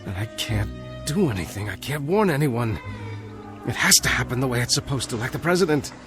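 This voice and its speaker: A man's voice: mid-range voice, expressive, fairly quick, over music, natural voice. An actor as an anguished, haunted young man. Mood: anguished, helpless. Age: young adult. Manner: troubled, brooding, earnest. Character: anguished young time traveller, helpless and haunted. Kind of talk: old television drama acting.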